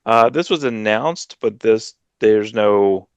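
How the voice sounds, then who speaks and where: A man in his forties co-hosting a comics podcast from home on a headset, talking in a relaxed, hesitant way with many fillers. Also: on the low side, slow, smooth, no echo, lo-fi sound. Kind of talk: casual chat.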